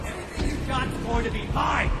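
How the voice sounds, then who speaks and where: An actor playing a taunting evil doppelganger in a game, theatrical, mocking and menacing. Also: male voice, extremely high pitch, fast talker, over loud music, over a noisy crowd. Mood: gloating, taunting. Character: gloating, taunting evil doppelganger, manic male villain. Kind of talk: dramatic game villain acting.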